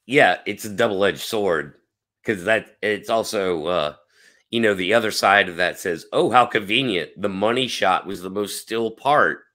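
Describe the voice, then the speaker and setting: A man hosting an interview over a video call from home, animated and rambling with many fillers, his voice hoarse and cracking. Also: fairly low pitch, a little slow. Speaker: host. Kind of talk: casual interview chat. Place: home room. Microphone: webcam on a video call.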